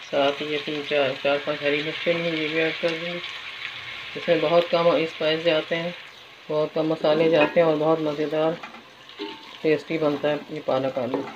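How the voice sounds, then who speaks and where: A woman's voice: deep voice, level tone, normal speed, background noise. A woman casually narrating a recipe as a home cook while she works at the stove. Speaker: home cooking vlogger. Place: home kitchen, indoors. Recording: dull phone audio, loud frying sizzle. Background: frying, sizzling and stirring.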